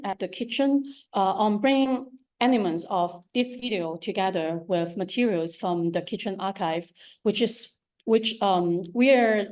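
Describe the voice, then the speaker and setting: A woman with a strong Cantonese accent gives a talk about her art. Her voice sits at an ordinary middle pitch, and she speaks haltingly, with frequent ums, false starts and repeated words as she searches for the phrasing. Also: darkish tone. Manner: hesitant, many ums and restarts. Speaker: visual artist giving a talk. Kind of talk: lecture on her art practice.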